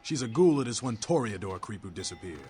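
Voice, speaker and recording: With music behind him, a male actor plays a low-voiced vampire rebel for a video game. He is a streetwise war veteran who preaches bluntly in street slang. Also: lively intonation, fast, warm tone, natural voice. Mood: wry, irritated. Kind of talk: video game character dialogue. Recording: background music under the voice.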